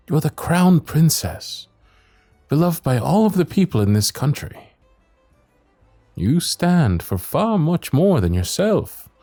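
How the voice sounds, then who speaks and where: A man's voice: medium pitch, a little mellow. A man playing a loyal, protective royal knight in a fantasy ASMR roleplay, speaking in courtly phrases, both teasing and earnest. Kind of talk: ASMR roleplay acting, fantasy audio drama.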